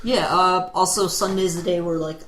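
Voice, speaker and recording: A man's voice: high voice, fairly even intonation, moderate pace, a bit bright. Hesitant, rambling man in a roomy space. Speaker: podcast co-host. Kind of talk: casual chat. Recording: roomy echo, faint background noise.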